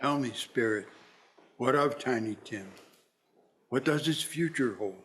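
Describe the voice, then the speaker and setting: A man playing a troubled, questioning older miser with an earnest, halting delivery in an amateur radio play. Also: mid-range voice, fairly bright tone, slight background noise, lightly acted. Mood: anxious, questioning.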